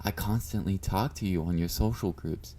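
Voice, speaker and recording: A man's voice: low voice, moderate pace, dark tone. A man playing an obsessive, clingy yandere fan in an intimate roleplay monologue, speaking to the listener with doting, flirty confidence. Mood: soft, soothing. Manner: doting, confident, coaxing with pet names.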